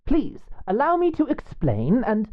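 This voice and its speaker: A woman's voice: fairly high pitch, huge pitch swings, measured pace, highs cut off. A woman playing a nervous male con man who poses as a physician in a medieval fantasy audio drama, polite, flustered and apologetic. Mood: placating.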